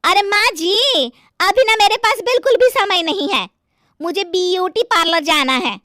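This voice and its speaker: A woman voicing a fussy, coy young village daughter-in-law in an animated story, with drawn-out, whiny delivery. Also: very high-pitched, no room sound, studio quality, exaggerated voice. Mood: petulant, scornful. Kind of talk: Hindi animated moral story acting.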